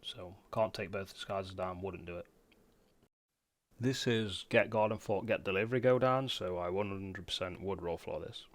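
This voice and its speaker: A man's voice: low voice, moderate pace, no echo, studio quality. A man giving casual, matter-of-fact commentary for a video game guide in an informal way. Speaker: gamer presenting a guide.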